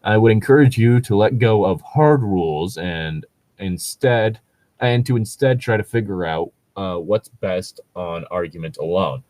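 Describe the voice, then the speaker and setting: A man with a low voice talks casually through his opinions, stopping and restarting as he goes. The sound is dry and close, and he peppers his talk with fillers and the odd swear word. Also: unhurried, darkish tone, dry recording. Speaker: podcast host reacting to read-aloud Reddit posts. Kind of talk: casual chat and commentary.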